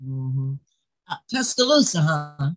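An older woman playing a wry, guarded veteran journalist with a dry, measured manner, in a play reading. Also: low-pitched, sing-song, exaggerated voice. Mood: polite, wry. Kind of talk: staged play reading over video call. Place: indoors, on a video call. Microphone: video call microphone.

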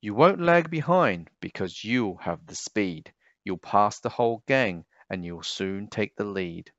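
Low-voiced man reading a storybook, very animated. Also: no echo, muffled recording. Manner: deliberate, sing-song delivery of rhyming verse. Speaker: storybook reader. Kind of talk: expressive children's read-aloud narration.

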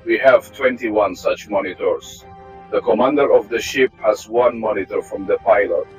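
A middle-aged man, a test pilot from Russia, giving a measured, technical tour of an aircraft cockpit. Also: on the low side. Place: aircraft cockpit inside a hangar. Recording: slightly dull sound, faint music behind.

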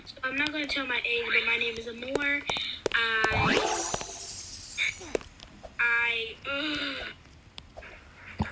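Young girl chatting over loud game noise. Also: a little high, steady tone, very slow, pauses often. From California. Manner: rambling, restarts often, drops to whispers. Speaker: gaming channel co-host. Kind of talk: casual gameplay chat.

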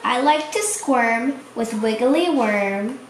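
A little storybook duckling read aloud by a woman speaks in a high voice. The delivery is slow, childlike and sing-song, in simple rhymes. It is recorded in an echoey room. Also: animated, far from the mic. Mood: happy, playful. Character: young duckling, playful, childlike, fond of rain. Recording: echoey room, faint noise.

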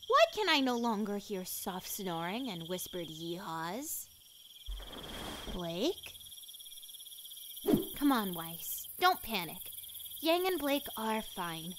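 A woman's voice: mid-range voice, background noise. A woman voicing a prim, easily panicked young heroine in a comic dub, fussy and theatrical, with exclamations.

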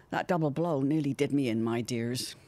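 A woman's voice: very deep voice, moderate pace, mellow. A woman playing a grieving, plain-spoken widowed mother with a folksy, effusive manner in an audio drama. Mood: sorrowful.

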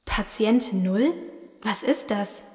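A woman's voice: mid-range voice, slow pace, darkish tone, lightly acted. A woman in a German audio drama playing a cautious, uneasy apocalypse survivor, hesitant and questioning.

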